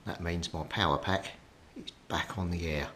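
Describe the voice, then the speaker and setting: A man's voice: low-pitched, normal speed, pushed and bright. A British man giving a casual, hands-on repair commentary, thinking aloud with some hesitation as he works. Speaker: hobbyist radio repairer presenting a repair video. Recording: clearly noisy recording with clicks.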